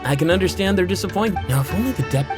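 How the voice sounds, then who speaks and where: An actress playing an easygoing, wry mercenary comrade in a video game, casual and teasing. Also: female voice, on the low side, expressive, very fast, close to an everyday voice. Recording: loud music behind voice.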